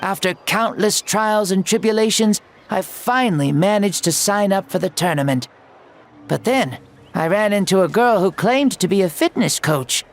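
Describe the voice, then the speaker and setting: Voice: mid-range voice, moderate pace, natural voice. An actor voicing a jovial, boastful ancient warrior hero in a game cutscene, talking in a playful, teasing way that turns grandly theatrical.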